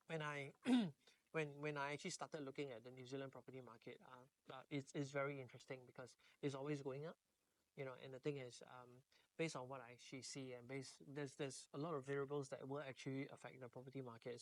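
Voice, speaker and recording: A middle-aged man from Singapore speaks with a Singaporean accent at an average male pitch. He talks casually as a podcast guest, often leaning on words like actually and basically. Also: steady tone, brisk, rough recording. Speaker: podcast guest, real estate agent. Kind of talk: casual interview talk.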